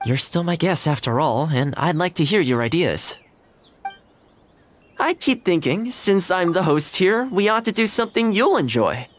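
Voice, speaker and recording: Cheerful young anime man, lively swooping intonation, laid-back, polite, video game dialogue. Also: high-pitched, normal speed, a little hiss or hum. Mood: easygoing. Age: young adult. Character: friendly, easygoing young male anime housekeeper.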